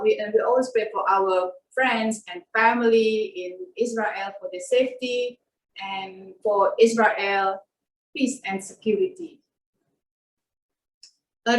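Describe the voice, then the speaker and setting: A woman's voice: mid-range voice, a little hiss or hum. Woman from Indonesia, echoey and distant. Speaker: cooking demonstrator. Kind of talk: cooking demonstration. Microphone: video call. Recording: echoey room, far from the mic.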